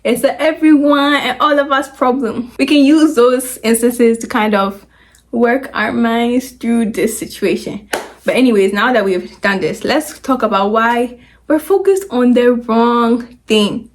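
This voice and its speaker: A woman's voice: fairly high pitch, unhurried. A young woman from Ghana with a Ghanaian accent, explaining a current issue in chatty vlog commentary. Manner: chatty, acts out quoted voices. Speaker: video commentator.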